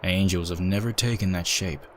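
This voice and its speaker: A stern, devout man , played by a male reader, speaks low and tersely. He is suspicious and stubborn, with a hard edge, in a dramatic reading over background ambience. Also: normal speed, slight background noise, lightly acted. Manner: terse, edgy, distrustful.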